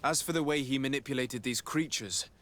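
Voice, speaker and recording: A man playing an earnest, courteous noble diplomat in a video game cutscene, speaking formally and in measured phrases. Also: medium pitch, bright, forceful tone, a little hiss or hum, natural voice. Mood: grave, urgent. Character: earnest, courteous young noble diplomat, burdened by family betrayal.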